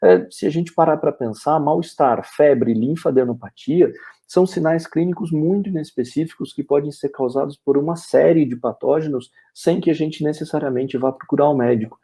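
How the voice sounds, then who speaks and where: A man's voice: a little high, normal speed, dark tone, breathy edge. A man with a Brazilian Portuguese accent giving a veterinary webinar lecture, explaining step by step, with "é" fillers and frequent "ok?" checks.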